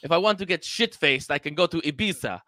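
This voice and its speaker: A man's voice: fairly high pitch, lively intonation, quick pace, sharp tone, no echo, studio quality. A man talking casually as a podcast guest, with slangy, sweary phrasing. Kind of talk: casual chat.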